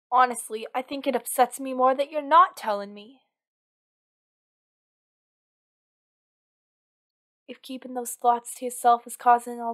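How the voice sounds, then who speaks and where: A woman acts out a female villain in love, anxious, clingy and possessive. Her voice sits a little high. She talks one-on-one to her partner as in an ASMR audio drama, earnest and intimate, pleading and insisting with devotion. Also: close to an everyday voice. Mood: gentle, concerned. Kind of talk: ASMR roleplay acting, one-sided dialogue.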